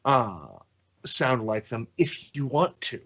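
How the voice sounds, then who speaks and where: A man's voice: medium pitch, lively intonation, slow, pushed and bright, slightly rough, highs cut off. A man co-hosting a podcast, chatting casually and tossing in dry, quippy remarks. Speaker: podcast co-host. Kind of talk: casual group chat.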